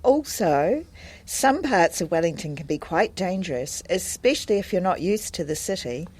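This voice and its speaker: A woman acting a helpful, friendly adviser in a scripted listening-test dialogue, speaking in a measured, explanatory way. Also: lowish voice, very animated, darkish tone, breathy edge. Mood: helpful, calm.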